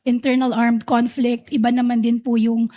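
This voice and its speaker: A woman's voice: fairly high pitch, flat delivery, normal speed, dark tone. A woman with a Filipino accent, a prosecution lawyer arguing formally and carefully, deferential, switching between English and Filipino. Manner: deferential, careful, hedged objections. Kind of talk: formal legal argument mixing English and Filipino.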